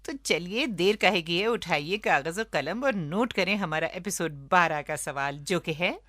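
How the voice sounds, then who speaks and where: An Indian woman co-hosting a radio show in a playful, expressive way, moving between scripted presenting and banter. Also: lowish voice, measured pace, dry recording, soft background music.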